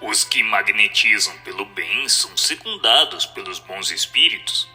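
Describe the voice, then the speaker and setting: A man voicing a solemn, assured spirit who answers in a measured, didactic way in an audio drama reading. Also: lowish voice, lively intonation, bright, forceful tone, faint music behind, pitched below the performer's own voice, heavily acted.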